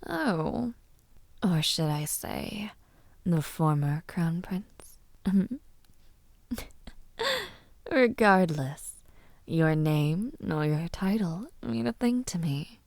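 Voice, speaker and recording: Woman voicing a young villainess princess, audio roleplay, condescending, coolly cruel, unhurried teasing drawl, breathy murmurs, very dry recording. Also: fairly low pitch, expressive, a little mellow, dry recording, heavily acted. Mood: haughty, disdainful. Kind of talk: audio roleplay acting, addressing the listener.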